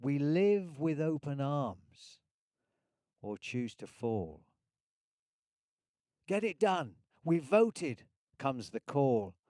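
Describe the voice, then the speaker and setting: Mellow-voiced man reciting poetry slowly. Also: on the high side, very animated, dry recording, clean studio sound. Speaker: poetry reader.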